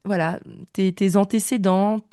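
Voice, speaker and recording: A woman's voice: medium pitch, steady tone, unhurried, dark tone, dry recording, studio quality. Chatty, enthusiastic woman podcast host. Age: 30s. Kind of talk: friendly interview.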